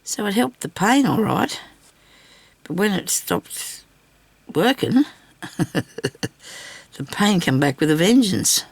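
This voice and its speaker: Older aussie lady w a deep croaky raspy voice n leftover cough, chatty rambly craft vlog, puts herself down a bit. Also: sing-song, takes it slowly, pauses often, no room sound. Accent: Australian. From Australia. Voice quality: low, gravelly, husky. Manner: rambling, self-deprecating, tag questions. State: lingering cough after weeks of sickness. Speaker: craft video maker. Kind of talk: casual chat while hand stitching.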